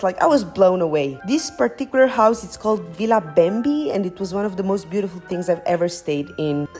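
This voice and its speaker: A woman's voice: mid-range voice, darkish tone. A young woman from Romania, narrating a travel vlog in a bubbly, slangy voice-over that swears casually and gushes about everything. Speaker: travel vlogger.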